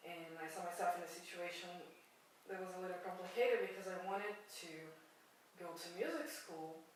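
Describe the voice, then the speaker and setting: Woman in her 20s, low-pitched for her age, hesitant and full of restarts, heard from a distance. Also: fairly even intonation, moderate pace, heavy reverb, a little hiss or hum. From Brazil. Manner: hesitant, with frequent restarts and fillers. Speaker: music student. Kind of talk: personal account interview.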